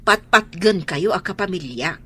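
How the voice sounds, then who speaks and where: A woman's voice: fairly low pitch, lively intonation, moderate pace, pushed and bright, husky, heavily acted. A woman with a Filipino accent playing a supportive, kindly family member in an audio drama. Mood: helpful. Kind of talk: Ilocano audio drama acting.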